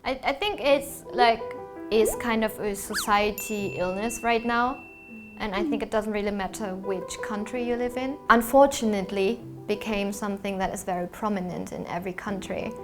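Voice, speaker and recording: A woman's voice: medium pitch, normal speed, music in the background. A woman from Germany, a panel guest, giving thoughtful, analytical opinions. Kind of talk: candid discussion.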